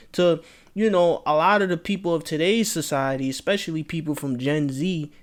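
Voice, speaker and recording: Laid-back man hosting a reaction video. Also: on the high side. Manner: laid-back, repeats phrases, talks to viewers directly. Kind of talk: casual commentary.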